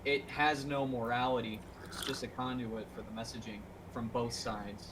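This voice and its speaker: A man's voice: medium pitch, fairly even intonation, moderate pace, a crowd in the background, rough recording. A man from the United States talking casually in a group discussion.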